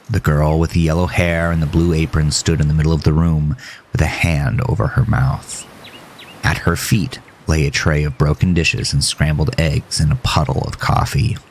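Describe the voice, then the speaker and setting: A man narrating a scripted horror story in a measured, solemn way. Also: extremely low pitch, slight background noise.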